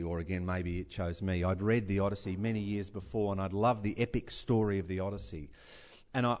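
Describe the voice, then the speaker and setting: A man's voice: fairly low pitch, a little mellow. A man speaking on a writers festival panel, earnest and reflective, often restarting and repeating words as he thinks aloud. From Australia. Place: writers festival session before an audience.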